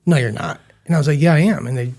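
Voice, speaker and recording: A man's voice: a little high, fast talker, gravelly, a little mellow. A man in his thirties from western New York, a chef telling stories in a chatty, self-deprecating way as a podcast guest. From western New York, USA. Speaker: guest, chef and business founder. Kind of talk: casual interview chat.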